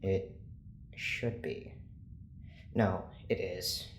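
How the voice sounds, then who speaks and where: A man's voice: deep voice, fairly even intonation, very slow. A man playing a stern, weary scientist in an audio drama, speaking in a formal, clipped, exasperated way. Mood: troubled, brooding. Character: stern, weary male scientist running an experiment. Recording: echoey room with faint noise.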